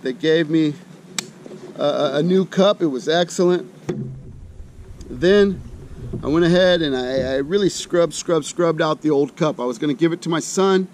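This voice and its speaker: A man's voice: normal speed, pauses often. A middle-aged American man chatting casually in a folksy, colloquial way, thinking aloud as he demonstrates camp cooking. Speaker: host of an outdoor cooking channel. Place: outdoors. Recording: outdoor recording with loud ambient rumble.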